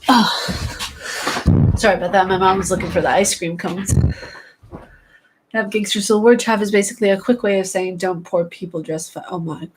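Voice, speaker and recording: A young woman chatting casually on her own channel. She laughs often and keeps exclaiming in surprise, her voice sitting at an ordinary middle pitch. She repeats herself for emphasis as she reacts. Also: normal speed, pauses often, slight background noise, low-quality recording. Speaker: channel host. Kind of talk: casual chat.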